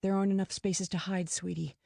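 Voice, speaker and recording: A woman voicing a protective mother who speaks in a soft, reassuring way. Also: medium pitch, level tone, a little fast, creaky, no echo, clean studio sound, natural voice. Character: loving mother, calm and protective.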